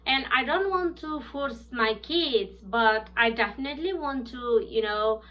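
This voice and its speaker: Nepali-accented woman in her 30s, earnest and halting, keeps searching for words, kinda muffled recording. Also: a little high, lively intonation. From southern Bhutan. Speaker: student presenter. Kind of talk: personal spoken presentation.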